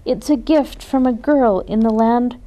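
A man's voice: extremely high pitch, a little slow, mellow. A woman reading aloud and voicing a greedy male stranger who growls and begs. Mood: pleading. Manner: growling, begging. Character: greedy male stranger posing as a poor traveler.

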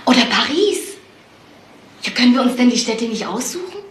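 Dreamy, forward crook's sister, lightly high, chatty, roomy sound with faint hiss. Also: female voice, very animated, normal speed. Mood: dreamy, eager. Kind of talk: German radio crime drama acting. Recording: echoey room, faint noise.

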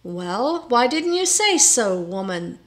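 A woman voicing an excitable, gullible older carpenter in a storybook tale, pious, proud and given to blustering exclamations. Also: on the high side, unhurried. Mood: worried, irritated.

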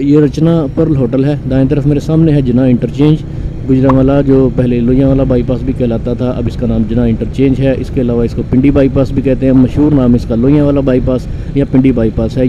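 A man from Pakistan, calmly narrating a motorcycle ride through his city with many pauses and fillers. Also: mid-range voice. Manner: calm, unhurried, pausing with frequent fillers. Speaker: motorcycle vlogger. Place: on a motorcycle on a city road, outdoors. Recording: dull lo-fi sound with road and traffic noise.

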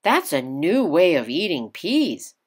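An eager young man courting his sweetheart in a storybook, voiced by a woman reader. He is playful and admiring, with emphatic, teasing lines that leap around in pitch. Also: very animated, slow pace, slightly rough, full character voice.

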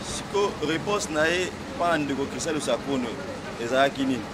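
A man's voice: mid-range voice, lively intonation, normal speed. A Congolese man speaking emphatically and insistently as he gives his opinion in a street interview. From Democratic Republic of the Congo. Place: outdoors by a doorway on a street. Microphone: small wired lapel mic held near mouth. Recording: loud outdoor street and traffic noise.

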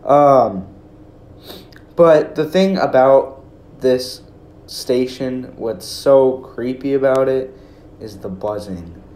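A man's voice: mid-range voice, slow pace, pauses often, a little mellow. Young American man, roomy echoey recording. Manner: hesitant, informal, rambling. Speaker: video creator. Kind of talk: casual rambling commentary. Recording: roomy echo, faint background noise.

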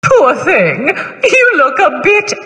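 A gleefully morbid female plague doctor from a video game, speaking slowly in a high, bright voice. Her delivery is theatrical and mock-polite, drawing out her words, and it rings with echoey hall reverb. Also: huge pitch swings, full character voice. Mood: gloating, morbid. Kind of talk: video game character voice lines.

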